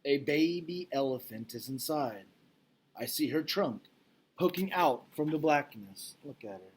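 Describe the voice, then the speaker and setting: A man's voice: fairly high pitch, animated, a little slow, a little hiss or hum. A man speaking as a teacher, casually recapping and reading a storybook aloud in an informal, explanatory way, checking in with listeners.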